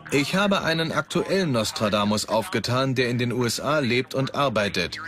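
A man playing a brisk, commanding leader of a documentary team in a voice-over style. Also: mid-range voice, expressive, a bit bright, lightly acted. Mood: brisk, businesslike. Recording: music under the voice.

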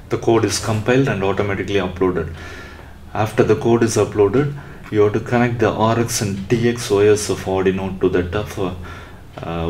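A man narrating a coding tutorial step by step, unscripted, in a halting way. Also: low voice, a little mellow. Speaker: electronics tutorial presenter. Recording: roomy echo with faint background noise.

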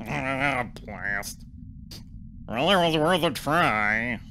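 An actor playing a sullen, haughty alien front desk clerk who scorns humans, deadpan and grudging, in a comic audio drama. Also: mid-range voice, drawn-out words, slight background noise, exaggerated voice. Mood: flat, fed up.

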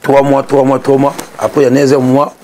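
Animated young man, lapel mic. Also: mid-range voice, a little fast, background noise. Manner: animated, repeats phrases for emphasis. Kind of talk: casual interview chat. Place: small indoor studio set.

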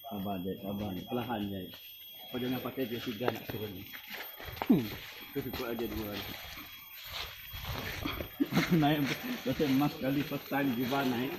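A man's voice: medium pitch, steady tone, moderate pace, long pauses, very noisy. A man chatting and joking with friends while hiking a forest trail, filming on a handheld phone. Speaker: hiker filming the hike. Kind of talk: casual chat while hiking. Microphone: handheld phone camera.

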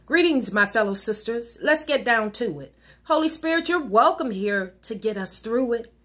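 A middle-aged American woman reciting rhyming spoken-word poetry like a minister, with a deliberate, emphatic, preacherly cadence. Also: a little high, expressive, a bit bright. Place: wood-panelled living room at home.

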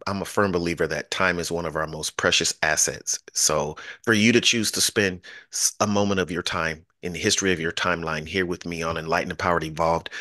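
Deep-voiced, effusive male podcast host. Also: bright, forceful tone, no echo, clean studio sound. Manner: effusive, grateful, repeats words. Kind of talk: video call interview. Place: home studio, indoors. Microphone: studio mic on a video call.

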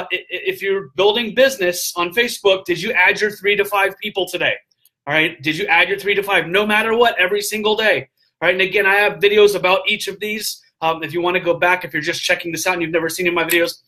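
A middle-aged man streams live from a hotel room to a webcam on the desk. His voice sits high and bright, and he talks in an animated way. He scatters "um" and "all right?" through his motivational tips. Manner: animated, gestures a lot, filler-heavy with frequent "all right?". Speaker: vlogger giving business tips. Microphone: webcam at desk distance.

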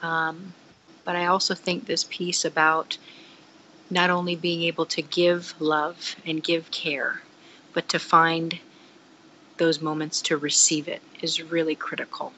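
Middle-aged woman, low voice, earnest and reflective, close webcam testimony. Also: little pitch movement, unhurried, pushed and bright, a little hiss or hum, poor audio. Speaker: interviewee. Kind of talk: personal testimony. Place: indoor room. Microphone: laptop webcam, close.

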